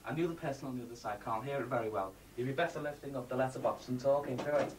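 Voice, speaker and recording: Halting male amateur presenter, distant noisy recording. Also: mid-range voice. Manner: halting, rambling, matter-of-fact, blunt asides. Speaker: presenter of a homemade design project video. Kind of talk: amateur presentation, half-scripted explaining. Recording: distant mic, faint background noise, amateur home video.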